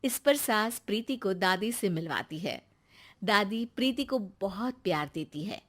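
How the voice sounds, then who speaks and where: A woman's voice: mid-range voice, lively intonation, measured pace, fairly bright tone. A woman narrator reading a scripted moral tale as storytelling.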